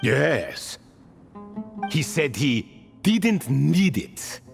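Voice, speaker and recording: An ancient, riddling spirit held captive in a game world. The voice swings dramatically up and down as it muses in grand, formal phrases. Music plays underneath. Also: male voice, on the high side, very animated, very slow, creaky, exaggerated voice. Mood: musing, confiding. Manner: theatrical, musing, formal phrasing. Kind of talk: video game character dialogue. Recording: music behind the voice.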